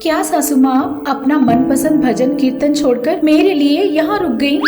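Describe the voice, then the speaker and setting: A woman's voice: sits high, normal speed, lightly acted. A woman voicing a respectful, remorseful young daughter-in-law in a family story, earnest and polite. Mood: polite, eager. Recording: echoey voice over background music.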